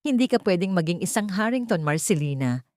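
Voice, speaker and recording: A woman's voice: on the low side, expressive, a little fast, darkish tone, no echo, studio quality, natural voice. A woman voicing a haughty older mother-in-law in an audio story, trembling with desperation and scorn. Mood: angry desperation. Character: haughty older rich mother-in-law losing her power.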